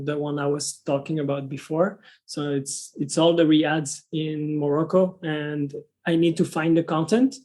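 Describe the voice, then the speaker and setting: A young man from France with a French accent, speaking English as a second language in a casual interview as a guest, often halting and restarting his sentences. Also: a little high, dark tone. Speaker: guest, travel content creator.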